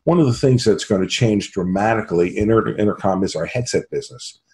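Low-voiced American man presenting products. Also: fast talker, darkish tone. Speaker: sales executive, interview guest. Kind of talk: product pitch in an interview. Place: small home office, indoors. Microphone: computer video call.

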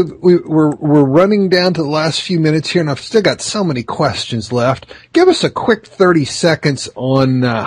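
A man's voice: a little high, a little mellow, husky, no echo. A man hosting a podcast, asking curious interview questions. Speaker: podcast host.

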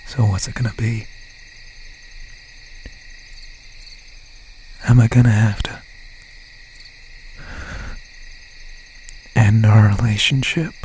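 A man playing an obsessed, menacing country slasher boyfriend in an ASMR roleplay, speaking in a breathy, halting, often whispered voice with folksy phrasing. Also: on the low side, fairly even intonation, a little mellow, natural voice. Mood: threatening, tender. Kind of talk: ASMR roleplay, slow and intimate. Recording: noisy night ambience with crickets, owl. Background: crickets, insects and an owl.

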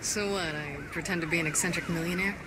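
A woman's voice: lowish voice, fairly even intonation, quick pace, heavy background noise, close to an everyday voice. A woman voicing a tough young gang boss in a video game, blunt, dry and sarcastic.

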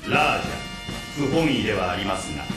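A man playing a polite, talkative combat machine intelligence in an audio drama, formal, measured and flatly literal. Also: lowish voice, reverberant, over music, lightly acted. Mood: flat, dutiful. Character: polite deadpan combat robot AI, talkative and insubordinate. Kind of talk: Japanese audio drama acting.

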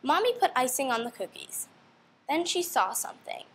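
A woman's voice: high voice, big pitch swings, normal speed, noisy background. A young woman reading a storybook aloud to children, expressively and with questions to her listeners. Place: indoor room.

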